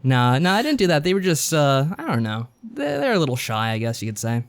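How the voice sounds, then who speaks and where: A man's voice: fairly high pitch, wildly swooping pitch, fairly quick. An American man co-hosting a podcast in casual chat, laid-back and dryly sarcastic. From United States. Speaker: podcast co-host.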